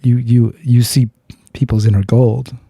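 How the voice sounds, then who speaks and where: A man's voice: mid-range voice, little pitch movement, measured pace, mellow, husky, no room sound, clean studio sound. A podcast host talking through a personal story with his guest. He speaks earnestly, searches for words, restarts often, and swears bluntly. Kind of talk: candid storytelling in conversation.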